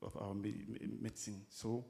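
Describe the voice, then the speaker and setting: A man with a Cameroonian accent speaking off the cuff at a conference discussion, haltingly, repeating words as he searches for phrasing. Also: lowish voice, little pitch movement, warm tone. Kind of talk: impromptu speech at a conference discussion.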